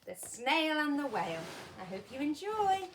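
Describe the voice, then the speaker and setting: A woman reading a children's storybook aloud as a primary school teacher, with deliberate, expressive emphasis. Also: high voice, slight background noise.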